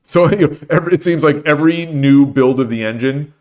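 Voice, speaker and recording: A man's voice: fairly high pitch, brisk, a little mellow. A man hosting a casual livestream, chatting at length and answering chat comments, with many restarts and fillers. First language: English. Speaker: streamer and host.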